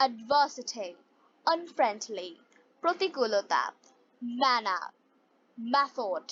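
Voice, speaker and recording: A woman narrates a scripted lesson for language learners, speaking in a deliberate, carefully spaced way on a slightly dull recording. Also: fairly high pitch, big pitch swings, a bit bright, slight background noise. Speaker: English teacher and story narrator.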